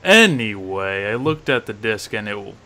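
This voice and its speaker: A man reading a first-person horror story as narrator, with expressive, emphatic storytelling. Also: on the low side, normal speed. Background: music under the narration.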